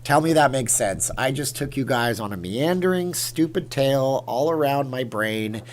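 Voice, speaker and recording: A middle-aged man from Canada hosts a casual livestream and chats with his viewers. He wanders through a long story, and his pitch swings up and down a lot. A faint noise sits behind him. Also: normal speed. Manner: rambling, animated storyteller talking to chat. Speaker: livestream host.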